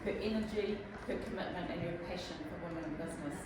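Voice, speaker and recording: Woman host, upbeat intro, far from mic, loud room noise. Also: on the low side, steady tone, quick pace, heavy reverb. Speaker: event host introducing speaker. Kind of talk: speaker introduction. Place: large echoey meeting room.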